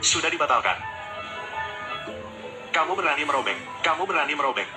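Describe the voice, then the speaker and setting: A man's voice: fairly high pitch, expressive, a little fast, lightly acted. A man dubbing a defiant young man confronting a rival, in a drama. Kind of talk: dubbed drama. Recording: echoey, loud music.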